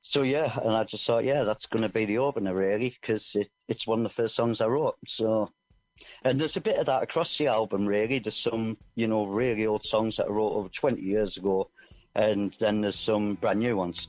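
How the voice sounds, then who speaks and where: Middle-aged male interview guest, low pitch, modest and reflective, casual chat over clear background music. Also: fairly quick, darkish tone, highs cut off. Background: music playing underneath.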